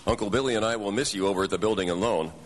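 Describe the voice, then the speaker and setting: A man's voice: lowish voice, rapid-fire, lightly acted. An actor playing a kindly, tired older father in a live radio drama. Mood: gentle, weary. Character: weary, kindly older father running a small business. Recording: faintly noisy live radio stage broadcast.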